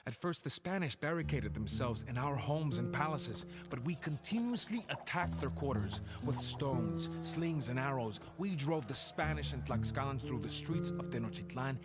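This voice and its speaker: A man's voice: medium pitch, lively intonation, normal speed, hardly any pauses, loud music behind the voice, lightly acted. An actor playing a proud, vengeful Aztec emperor, solemnly and theatrically narrating his war as a dramatic story. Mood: solemn, grave.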